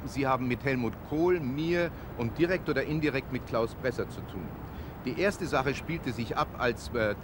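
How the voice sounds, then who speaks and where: An older man speaks calmly to camera, looking back on past events. He is on an outdoor city rooftop with steady traffic noise rising around him. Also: mid-range voice. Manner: calm, reflective, retakes lines. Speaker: correspondent. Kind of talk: on-camera recollection to camera.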